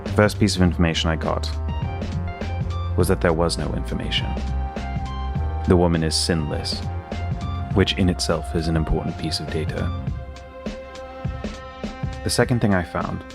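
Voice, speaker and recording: Man voicing a brooding investigator, low gravelly male voice, flat, deadpan, measured monologue, clear music behind. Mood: brooding, intent. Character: brooding, methodical male investigator narrating his findings. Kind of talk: scripted dramatic monologue.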